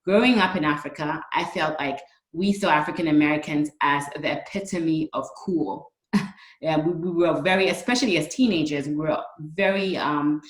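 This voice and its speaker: Female panelist, thirties, low voice, measured explanatory delivery, echoey room. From Ghana. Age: 30s. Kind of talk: considered panel remarks.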